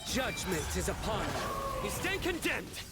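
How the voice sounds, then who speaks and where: High-voiced stern young judge-warrior. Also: male voice, huge pitch swings, a little slow, heavily acted. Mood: stern, wrathful. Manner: commanding, solemn, declamatory. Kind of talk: video game battle voice lines. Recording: loud game music and impacts.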